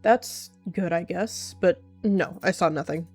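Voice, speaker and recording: An awkward young cat, as a woman plays it in an animal fantasy roleplay. The speech is informal and halting, over faint background music. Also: fairly low pitch, darkish tone, breathy edge. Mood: casual, hesitant.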